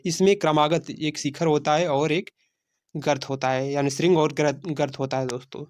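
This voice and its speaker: A man's voice: fairly high pitch, steady tone, moderate pace, a little mellow. A man teaching a quiz-style lesson, repeating phrases and answering his own questions. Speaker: teacher of exam-prep science class.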